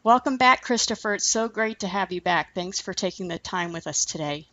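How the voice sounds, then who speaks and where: A woman's voice: mid-range voice, animated, fairly quick, pushed and bright, dry recording. A woman hosting a radio talk show, reading her introduction in a polished announcer manner and then questioning her guest. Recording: dull lo-fi broadcast sound, dry.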